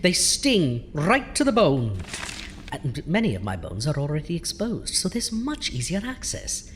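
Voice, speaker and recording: A sardonic undead male crypt-keeper as host of a horror audio drama. He draws his words out theatrically and swings widely in pitch. There is slight noise behind him. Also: wildly swooping pitch, fairly bright tone, full character voice. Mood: wounded. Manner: theatrical, indignant, drawn-out delivery.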